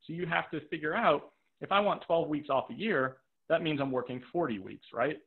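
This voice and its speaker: A man's voice: a little high, brisk, breathy edge. A man coaching a live webinar, direct and practical, repeating points for emphasis and checking in with "right?", his voice tiring after a long day. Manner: checks in with rhetorical "right?" and "okay?". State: voice tiring from talking all day. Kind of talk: live webinar teaching with audience questions. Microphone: video call microphone. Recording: narrow, tinny video-call audio.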